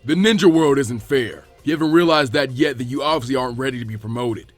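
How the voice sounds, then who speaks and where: A man voicing a gruff, imposing ninja exam proctor who commands and sneers in an anime fan fiction reading. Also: medium pitch, fast talker, soft background music, close to an everyday voice. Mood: sneering.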